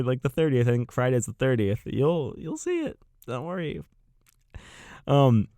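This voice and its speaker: A man's voice: lowish voice, darkish tone, dry recording, clean studio sound. An American man hosting a game review channel, chatting casually and unscripted to viewers with gushing enthusiasm.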